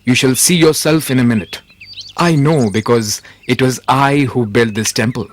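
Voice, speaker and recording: A shrewd, courteous royal advisor voiced by a man in a cartoon moral tale. He speaks at a middling pitch, measured and deferential, with light music behind him. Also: natural voice. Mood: calm, assured. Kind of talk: animated moral story dialogue.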